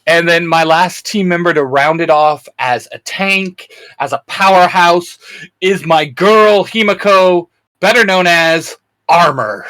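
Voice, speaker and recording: A man co-hosting a fan discussion in a casual, chatty, animated way, often interrupting himself. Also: on the high side, unhurried. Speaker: co-host of a fan discussion show.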